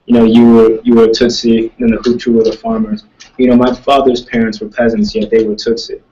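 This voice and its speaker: A man's voice: medium pitch, little pitch movement, fairly quick, mellow, distant mic, dull audio. A young man, a student, answering audience questions in casual talk, thoughtful and rambling, with many restarts. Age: young adult. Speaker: student speaker.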